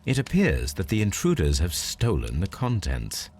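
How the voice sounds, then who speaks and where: An actor playing a composed, analytical Victorian English gentleman detective in a video game, musing precisely and deliberately. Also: sits low, huge pitch swings, slightly rough, heavily acted.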